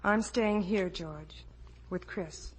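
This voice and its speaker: A woman's voice: mid-range voice, takes it slowly, husky. An actress playing a steadfast, earnest young woman in a 1950 radio drama. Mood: earnest, defiant.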